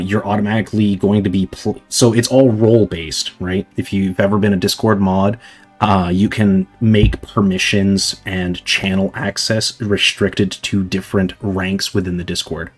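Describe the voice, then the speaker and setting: A young man on a livestream, his fairly low voice husky and a little hoarse from hours of talking. He rambles casually through viewers' questions, padding with fillers. Faint music plays underneath. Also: fairly even intonation, measured pace. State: slightly hoarse from long streaming. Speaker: livestreamer answering chat.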